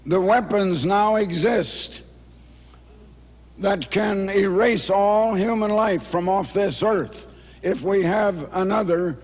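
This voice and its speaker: A man in his eighties, hoarse, giving a formal speech at a banquet in slow, emphatic phrases. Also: high-pitched. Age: 80s. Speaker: speaker at a banquet. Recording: reverberant banquet hall.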